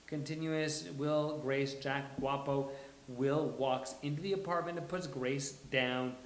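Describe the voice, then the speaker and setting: A man presenting a live table read in a halting, informal way, as in casual chat. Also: mid-range voice, fairly even intonation, a little hiss or hum. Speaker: table read presenter reading stage directions. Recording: echoey room.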